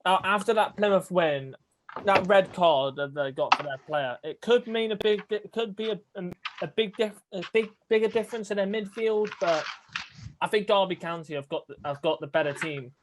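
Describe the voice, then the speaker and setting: Chatty young man, slightly high voice. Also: normal speed, noisy background. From England. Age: young adult. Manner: rambling, chatty with laughs. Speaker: host of a fan podcast. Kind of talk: casual chat on a video call.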